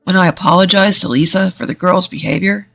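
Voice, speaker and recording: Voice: medium pitch, steady tone, a little fast, vocal fry, fairly bright tone. Scripted story narrator over faint music. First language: English.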